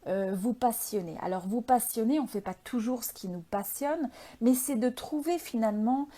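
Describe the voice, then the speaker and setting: High, soft-toned woman giving a tarot reading. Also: moderate pace. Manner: reflective, hesitant, searching for words. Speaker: tarot reader, channel host. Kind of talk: intuitive tarot reading, unscripted spiritual guidance.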